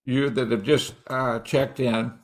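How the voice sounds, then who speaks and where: A man in his late sixties hosting a casual live-stream interview, halting with fillers and restarts. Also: mid-range voice, steady tone. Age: 60s. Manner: halting, many fillers and restarts, laughs often. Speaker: host.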